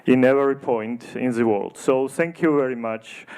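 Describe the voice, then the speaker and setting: A man with a Georgian accent, a theater director answering in halting English with many fillers, earnest in tone. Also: medium pitch, darkish tone, smooth. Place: festival discussion hall.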